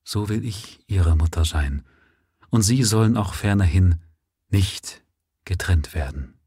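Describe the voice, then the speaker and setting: A man voicing a kindly, wealthy lady who speaks hesitantly and gently. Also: low-pitched, expressive, a little slow, slightly rough, no room sound, studio quality, exaggerated voice. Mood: tender.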